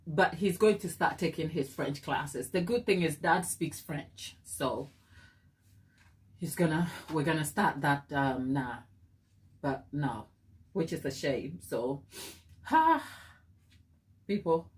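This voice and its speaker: A woman's voice: low-pitched, expressive, slow, pauses often. A woman with a Ghanaian accent hosting a cake decorating livestream, chatting casually with her viewers and calling them "my people". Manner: chatty, addresses viewers as "my people", mixes in Twi.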